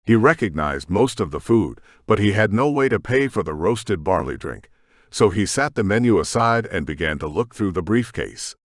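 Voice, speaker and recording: Man reading a sci-fi audiobook, lowish voice with a lot of melody, dry close sound. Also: no echo. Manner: steady storytelling narration. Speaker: audiobook narrator. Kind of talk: scripted narration.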